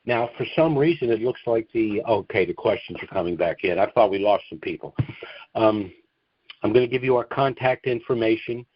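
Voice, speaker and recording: Male conference call host, middling-low voice, halting explainer taking caller questions, dull lo-fi sound, dry with no room echo.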